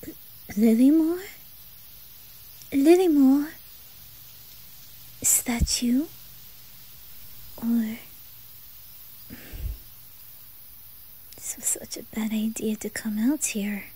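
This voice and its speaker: A woman voicing a shy, curious nine-year-old boy in an ASMR roleplay, with an attempted Swedish accent and a soft, often whispered, hesitant delivery. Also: on the high side, slightly rough, exaggerated voice, low-quality recording. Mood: wary, curious. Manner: hesitant, stammering, slow.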